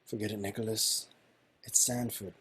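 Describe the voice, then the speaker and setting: A man's voice: fairly low pitch, level tone, normal speed, a bit bright, husky. A man reading a pleading part in an amateur table read over a video call.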